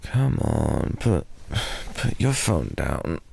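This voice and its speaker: A young British man speaks in a low, gravelly murmur with a mellow, dark tone. He rambles slowly and softly in an improvised boyfriend ASMR roleplay, now and then dropping to a whisper, recorded dry and close. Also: sing-song, slight background noise. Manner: soft, drowsy, rambling with slips into whispers. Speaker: ASMR performer.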